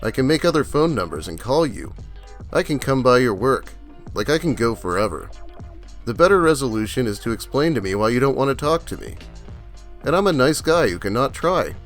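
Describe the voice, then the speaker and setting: A man's voice: medium pitch, big pitch swings, a little fast, faint music behind. A man reading out a clingy, menacing rejected stalker for a comedy video. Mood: pleading, threatening.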